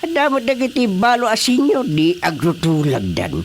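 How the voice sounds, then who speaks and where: A man's voice: sits high, huge pitch swings, moderate pace, a little hiss or hum, heavily acted. An actor with an Ilocano accent playing a chatty, teasing elderly village chairman in a radio drama comedy. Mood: teasing, jovial.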